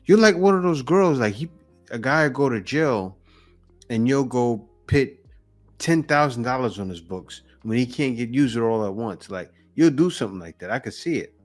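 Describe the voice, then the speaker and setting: A man's voice: medium pitch, lively intonation, moderate pace, faint music behind. A man hosting a reaction video, giving blunt, mocking, teasing commentary in a casual way.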